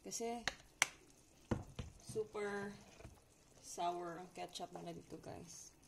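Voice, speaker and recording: Slow-talking Filipina woman, Ilonggo accent. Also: lowish voice, steady tone, creaky, very noisy. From Philippines. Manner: slow, hesitant English, often says "guys". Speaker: cooking vlogger. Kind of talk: casual cooking narration. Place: home kitchen by a gas stove.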